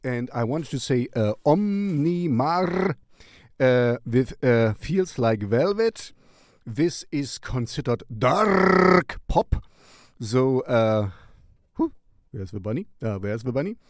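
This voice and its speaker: A man's voice: medium pitch, lively intonation, no room sound, studio quality. A man hosting a music recommendation show in a casual, rambling, playful and distracted way. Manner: playful, distracted, halting delivery.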